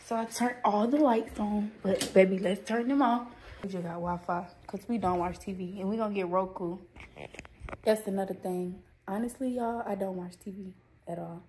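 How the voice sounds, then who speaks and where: A woman in her early twenties chatting casually to her phone camera in a vlog, slangy and excitable, squealing high when thrilled. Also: moderate pace, background noise. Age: early 20s. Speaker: vlogger. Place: apartment rooms, then parked car and outdoor parking lot. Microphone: phone held at arm's length.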